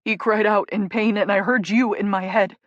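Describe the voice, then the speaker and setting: Woman voicing young male hockey player, anguished, shouting, sobbing, swearing, dry close sound. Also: medium pitch, fairly even intonation, moderate pace, dry recording, highs cut off, close to an everyday voice. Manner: raw, shouting through sobs, swearing.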